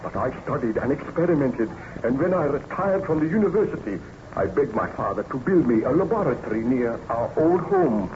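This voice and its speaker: A man's voice: medium pitch, big pitch swings, brisk, natural voice. A man playing a tormented, guilt-ridden scientist nobleman in formal, theatrical old-time radio drama style. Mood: grave, confiding. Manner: grave, melodramatic, formal theatrical diction. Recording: dull, noisy old radio recording.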